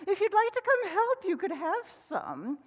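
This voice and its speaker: A woman voicing a friendly, bustling female hen baker in a storybook tale, high and sing-song. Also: big pitch swings, a little slow, big-room sound, exaggerated voice. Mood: chirpy.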